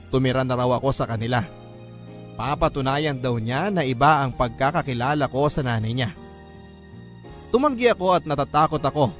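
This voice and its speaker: A man with a Filipino accent reading a scripted first-person story as a radio drama narrator. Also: mid-range voice. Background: background music.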